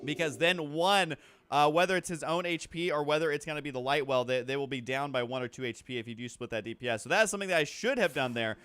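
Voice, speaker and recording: Man, slightly high-pitched voice, gaming host, casual play-by-play, rambling, thinking aloud. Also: quick pace, a bit bright, slight background noise. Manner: rambling, thinking through moves aloud, counting. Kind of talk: casual card game commentary, thinking aloud.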